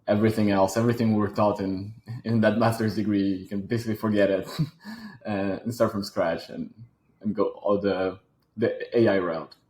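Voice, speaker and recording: Man, French accent, native French speaker, lowish voice, echoey room, thoughtful, repeats words. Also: fairly even intonation, moderate pace, darkish tone. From Switzerland. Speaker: guest, robotics company founder. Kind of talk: casual interview talk.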